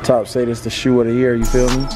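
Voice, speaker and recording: A man in his thirties vlogging casually in a shopping mall with a handheld camera, talking in relaxed slang. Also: mid-range voice, fast, over music. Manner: laid-back slang, says man often. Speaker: sneaker vlogger. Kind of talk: casual vlog talk. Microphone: handheld camera held at arm's length.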